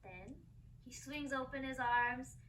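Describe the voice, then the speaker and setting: Young actress as high-voiced migrant farm girl. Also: slow, fairly bright tone. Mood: reminiscing. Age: young adult. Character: migrant farm girl remembering plum picking with family. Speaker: student actor. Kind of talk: theatrical two-person oral history storytelling. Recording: distant mic, faint room noise.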